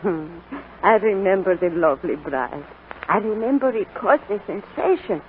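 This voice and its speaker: Woman acting a gentle old grandmother, tinny vintage radio. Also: fairly low pitch, expressive, moderate pace, natural voice. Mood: warm, reminiscing. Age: older. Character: frail, devout, kindly old French grandmother of seventy-seven. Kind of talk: 1940s radio drama acting. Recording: noisy, tinny 1940s radio broadcast.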